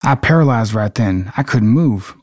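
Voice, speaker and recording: A man's voice: on the low side, animated, moderate pace, darkish tone, dry recording, clean studio sound. A man playing a terrified, folksy Southern fisherman with a put-on accent in an amateur dramatic reading. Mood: stunned.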